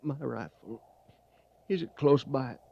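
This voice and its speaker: Dying, defiant soldier, gravelly and halting, old radio western with muffled sound. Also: male voice, medium pitch, full character voice. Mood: pleading. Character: dying, defiant soldier, haunted ex-deserter seeking decency. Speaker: actor in a western radio drama. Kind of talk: 1950s radio western acting. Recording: muffled vintage radio recording.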